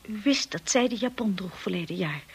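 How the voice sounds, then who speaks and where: An actress playing an anxious, timid young wife in a radio drama, earnest and breathy. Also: female voice, mid-range voice, lively intonation, fairly quick. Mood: hurt, indignant. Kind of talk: radio drama acting with narration. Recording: hissy old radio play recording.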